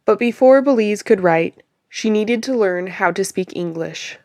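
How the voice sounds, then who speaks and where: A woman's voice: medium pitch, lively intonation, measured pace, dark tone, no room sound. A woman reporter reading a scripted narrative story.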